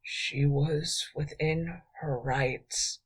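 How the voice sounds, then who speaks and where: A woman voicing an exhausted, wounded young male thief who speaks in a faint, slow whisper. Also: low voice, steady tone, husky, exaggerated voice. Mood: tired, quiet.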